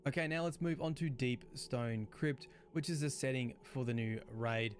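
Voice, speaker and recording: A man reading scripted explainer narration in a clear, expository way. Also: medium pitch, moderate pace. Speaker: lore video narrator. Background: background music.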